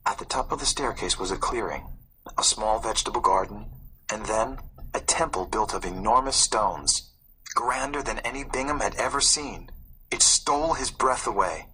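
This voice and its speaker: A man reading a story aloud as a narrator, in a suspenseful, expressive way. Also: mid-range voice, slightly rough, rough recording. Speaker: audiobook narrator. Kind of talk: dramatic scripted storybook narration.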